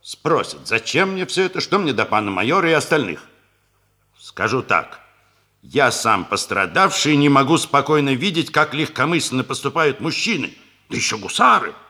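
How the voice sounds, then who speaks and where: An actor playing a fussy gentleman who narrates a radio comedy in a theatrical, confiding manner. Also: medium pitch, expressive, normal speed. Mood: confiding, indignant. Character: fussy gentleman warning about hussars and women. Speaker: narrator character.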